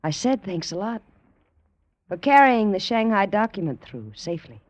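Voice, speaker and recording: A woman's voice: medium pitch, big pitch swings, moderate pace, no echo. An actress playing a composed, coaxing woman doctor and secret agent in an old radio drama, soothing and assured. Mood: reassuring. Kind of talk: 1940s-50s radio drama acting. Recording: dull, dry vintage radio recording.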